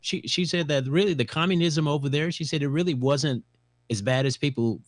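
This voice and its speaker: A man's voice: fairly high pitch, fairly quick, dry recording, studio quality. A man hosting a television interview, chatting and telling stories from his own life. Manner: conversational, storytelling. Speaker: host. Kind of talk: talk show interview.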